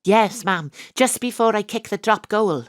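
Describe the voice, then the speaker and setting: A brisk Welsh woman private eye, played by an actress, in a dry, close recording with a mid-range voice. She is tongue-in-cheek and deadpan, acting and narrating a comic audio drama. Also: medium pitch, no echo, close to an everyday voice. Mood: startled.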